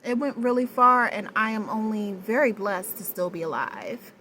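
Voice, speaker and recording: A woman with a low voice talking straight to the camera in a personal vlog, hesitant and searching for words, with many pauses and restarts. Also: bright, forceful tone, slight background noise, rough recording. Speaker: vlogger. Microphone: no external mic, camera mic.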